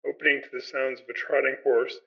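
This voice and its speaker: A man's voice: mid-range voice, flat delivery, quick pace, vocal fry, husky. Male podcast host reading, slightly muffled. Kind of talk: scripted narration, reading aloud. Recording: slightly dull, muffled sound.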